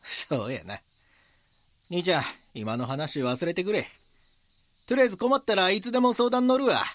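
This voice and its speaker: An actor playing a rough, informal and grudging local man with a Kansai accent in an audio drama. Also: high-pitched, normal speed, fairly bright tone, no room sound. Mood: backing off. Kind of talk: Japanese audio drama dialogue.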